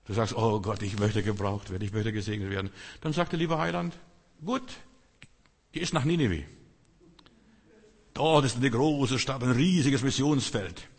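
A man's voice: mid-range voice, moderate pace, pauses often, breathy edge, in a large hall. A man preaching a sermon in German, which is not his first language, talking to the congregation in a conversational way and often asking "verstehst du?". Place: church hall.

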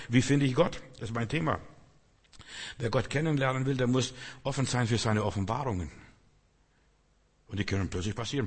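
Casting: non-native male preacher, husky voice, chatty delivery, echoey church hall. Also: medium pitch. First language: not German. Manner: conversational preaching, frequent "verstehst du?" asides. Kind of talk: sermon.

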